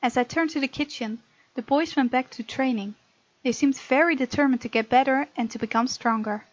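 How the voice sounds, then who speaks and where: High-voiced woman reading a game aloud. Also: brisk, no echo, lo-fi sound. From Netherlands. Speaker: gamer reading a visual novel aloud and voicing the heroine. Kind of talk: let's play narration with casual asides.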